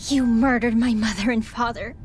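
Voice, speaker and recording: A woman's voice: on the high side, fairly even intonation, moderate pace, a little hiss or hum, close to an everyday voice. An actress playing a fierce, resolute young mage girl in a video game, earnest and emphatic in her delivery. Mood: bitter resolve. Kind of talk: video game cutscene acting.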